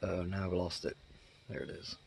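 Gravelly man vlogging in his garden. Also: sits low, steady tone, normal speed, a little hiss or hum. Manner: amazed, chatty, thinking aloud. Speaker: homesteader vlogger. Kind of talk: casual handheld vlog. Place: outdoors in a backyard garden. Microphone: phone camera held close.

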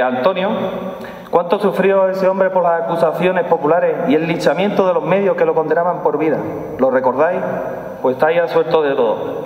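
A man in his forties delivers a formal speech with an Andalusian accent and emphatic repetition. His voice is slightly high for a man. The sound is a little dull, with strong echo from a large hall. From Andalusia, Spain. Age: 40s. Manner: emphatic, repeats key phrases. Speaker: police chief giving an inaugural speech. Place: large stone hall, indoors. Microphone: lectern microphone.

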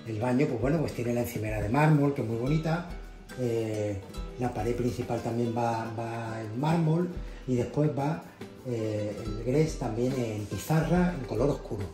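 A man's voice: mid-range voice, moderate pace, mellow. A man in his forties with an accent from Spain, casually narrating a tour of his home as a vlogger. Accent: Spanish from Spain. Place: indoor apartment rooms and balcony. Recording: echoey rooms, music underneath. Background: background music.

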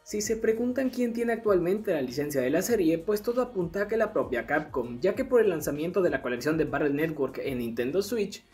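A narrator with a Latin American Spanish accent delivers a scripted video essay. The intonation moves around in a lively way at a medium pitch, with music playing underneath. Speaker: narrator of an anime dubbing history video. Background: background music.

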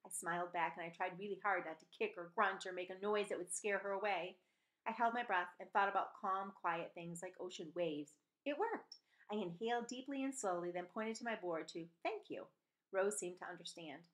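A woman's voice: mid-range voice, a little fast, fairly bright tone, low-quality recording. A middle-aged woman reading a children's novel aloud as a storyteller, recorded indoors at home on a webcam microphone. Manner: acts out dialogue in changed voices. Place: small room at home, indoors. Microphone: webcam or laptop microphone at desk distance.

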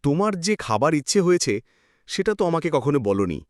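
A man's voice: a little high, very animated, fast talker, no room sound, studio quality. An actor playing a principled, kind-hearted village fisherman husband in a cartoon. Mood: earnest. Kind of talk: cartoon voice acting.

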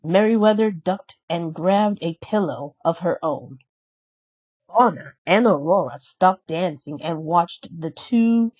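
Slow-paced woman reading a children's story. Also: on the low side. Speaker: storybook reader. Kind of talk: children's story read aloud, scripted narration. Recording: slightly dull, lo-fi sound.

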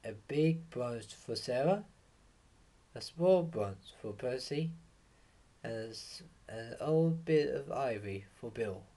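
Young guy reading kids bedtime story, slow n halting, pitch moves around a lot. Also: lively intonation, warm tone. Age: young adult. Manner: halting, stumbles over words. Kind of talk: reading a children's picture book aloud. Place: small room at home, indoors. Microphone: webcam or laptop mic at desk distance.

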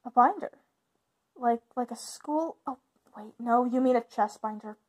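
A woman's voice: fairly high pitch, unhurried, darkish tone. A woman acting as a caring, informal big sister and young witch, hesitant and reassuring, in a conversational ASMR roleplay. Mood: casual, helpful.

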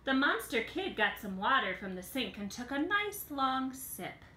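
A woman in her thirties reading a picture book aloud to young children in an expressive, exaggerated storytelling way, recorded on a phone in a room at home. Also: high-pitched, a little slow, roomy sound, a little hiss or hum.

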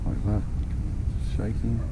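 A middle-aged Australian man giving laid-back, colloquial running commentary as he fishes, recorded outdoors on a body-worn camera. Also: takes it slowly. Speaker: angler presenting a fishing video. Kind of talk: casual running commentary while fishing. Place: outdoors on a small boat on a river. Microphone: action camera worn on the body. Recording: noisy outdoor boat recording.

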